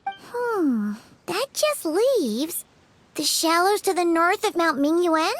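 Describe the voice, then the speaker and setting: A woman voicing a tiny, excitable, childlike fairy companion in a video game, squeaky and expressive. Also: takes it slowly, a little hiss or hum, full character voice. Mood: pondering. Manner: speaks of self in third person, expressive. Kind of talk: cartoonish game dialogue.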